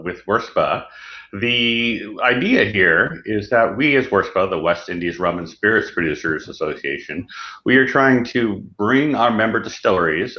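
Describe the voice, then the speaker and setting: A man hosting a live online presentation in a conversational way over a video call. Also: fairly low pitch. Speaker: host of an online rum presentation series. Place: indoor room, on a video call. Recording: dull, roomy video-call audio.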